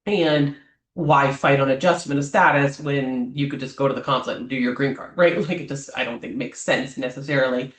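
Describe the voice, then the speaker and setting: A man speaking as an immigration lawyer, giving advice to clients off the cuff and often checking in with a quick "right?". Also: medium pitch, a bit bright. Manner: talks off the cuff, ends many points with a questioning "right?"; frequent "um" fillers and restarts. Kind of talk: explanatory advice talk to clients. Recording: roomy echo.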